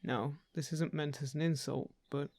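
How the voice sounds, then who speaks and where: Man acting earnest, gravelly royal envoy, lightish voice. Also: on the high side, steady tone, normal speed, no echo, natural voice. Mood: reassuring, earnest. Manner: hesitant, apologetic, gently persuasive. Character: polite, earnest male royal envoy seeking a lost heir. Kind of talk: fantasy audio roleplay acting.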